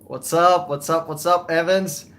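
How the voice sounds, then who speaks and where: A man's voice: a little high, fairly even intonation, unhurried, fairly bright tone. A young man from Russia, hosting a casual live Q&A about buying cars, blunt and chuckling as he swears. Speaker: car buying advisor, livestream host. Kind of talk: live-stream Q&A with chat.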